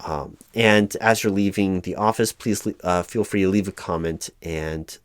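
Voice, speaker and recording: A man playing a frustrated, flustered corporate recruiting manager in a one-sided roleplay, speaking in a halting, stammering, officious way. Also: low-pitched, fairly even intonation, smooth, dry recording, natural voice. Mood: apologetic, flustered. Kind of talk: one-sided ASMR roleplay, loud spoken.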